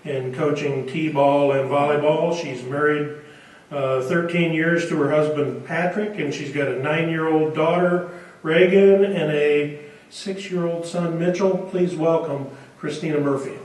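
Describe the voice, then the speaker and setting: A man introducing a speaker and reading event announcements, halting with many pauses. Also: on the high side, fairly even intonation. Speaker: event host. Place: auditorium. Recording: echoey hall, distant mic.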